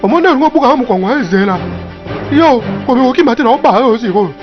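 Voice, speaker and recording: Squeaky, fast, bossy cartoon animal by a man. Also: animated. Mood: scolding. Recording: lo-fi audio under loud music.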